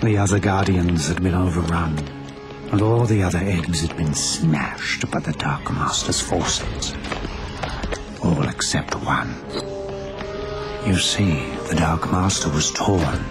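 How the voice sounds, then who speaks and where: An actor playing a wise old male dragon mentor, solemn and regretful, telling his tale in measured phrases for a video game. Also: low voice, over loud music. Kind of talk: dramatic video game cutscene narration.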